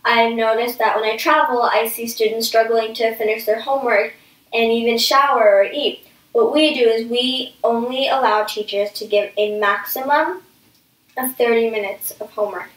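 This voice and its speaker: A woman's voice: fairly high pitch, steady tone, normal speed. A woman answering as an interview guest in an explanatory way, recorded at a distance in an echoey room.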